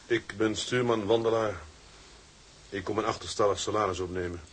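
An actor in a radio drama playing a blunt, hot-tempered ship's mate with terse, gruff speech. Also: male voice, lowish voice, fairly even intonation, moderate pace, fairly bright tone. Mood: curt. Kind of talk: Dutch radio drama acting.

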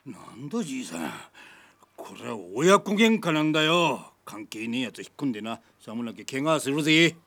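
A man playing a coarse, bullying middle-aged father in a radio drama, speaking in a rough, threatening, slangy way. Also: mid-range voice, very animated, moderate pace, fairly bright tone. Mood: menacing. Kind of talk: Japanese radio drama acting.

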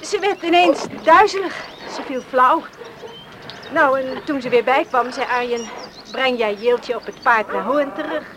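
A high-voiced, obliging young farm daughter, plain in the way she talks. The recording is that of an old radio play, noticeably noisy. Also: unhurried. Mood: matter-of-fact. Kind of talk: radio drama acting.

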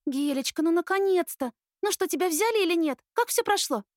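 A bubbly, stubborn young student acted by a woman narrator chatters excitably in a very high, girlish voice, pushed well up for an audiobook character. The recording is dry and close. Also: brisk, no room sound. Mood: eager, worried.

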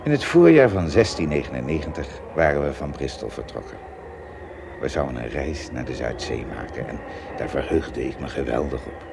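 A shipwrecked English adventurer telling his tale as a radio drama. The voice is extremely low and crackles with gravel. The delivery is earnest and theatrical, halting and easily awed or alarmed, over a faintly noisy recording. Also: animated, full character voice. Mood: reminiscing.

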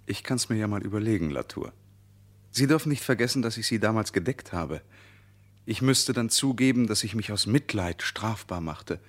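A quietly menacing villain in a radio crime play. He speaks low and measured, smooth-talking and condescending throughout. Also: lively intonation. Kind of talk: German radio crime drama acting.

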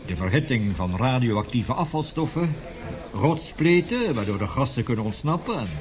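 A man's voice: mid-range voice, sing-song, normal speed, a crowd in the background, close to an everyday voice. An actor in a radio drama playing an embittered, passionate male professor who lectures emphatically and indignantly. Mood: lecturing, grave.

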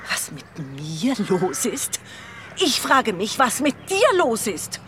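An actress playing a suspicious, scolding adult daughter, pointed and sarcastic, in a naturalistic radio drama. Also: female voice, on the high side, very animated, slow, background noise. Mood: indignant.

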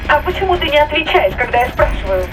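An actress playing an excitable, anxious female spaceship crew member, heard through a radio in a science fiction audio drama. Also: sits high, fast talker. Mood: reproachful. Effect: radio transmission filter. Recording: distant radio-link voice over music.

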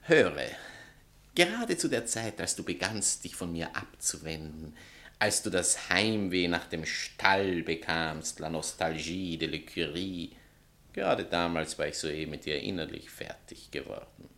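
An actor playing a vain, wounded poet in a 1960s radio drama, declaiming theatrically and pompously to a former lover. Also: male voice, low voice, measured pace, sharp tone, exaggerated voice. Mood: haughty, declaiming. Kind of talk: 1960s German-language radio drama acting.

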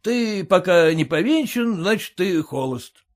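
A man's voice: high voice, expressive, unhurried, voice pushed up. A man voicing a stern, bossy male merchant who questions his clerks curtly, in a story reading. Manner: brusque, commanding.

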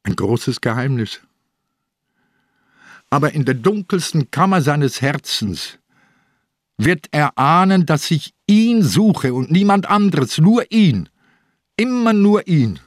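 A man's voice: a little high, very animated, no echo, clean studio sound, heavily acted. A man playing a sick, stubborn old police inspector in a German radio drama, weighty and grimly determined. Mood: insistent, intense. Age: older. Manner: deliberate, emphatic, weighty. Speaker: actor in a crime radio play.

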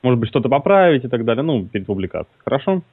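Measured man interviewing over the phone. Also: medium pitch, big pitch swings, breathy edge, no room sound. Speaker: prank caller posing as interviewer. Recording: dry tinny phone line.

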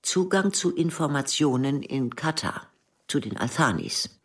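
An actress playing a secretive intelligence officer, terse and matter-of-fact, in a radio drama. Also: female voice, low voice, lively intonation, unhurried, recorded from a distance. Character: secretive female intelligence officer briefing a colleague. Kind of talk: radio drama acting, low dialogue.

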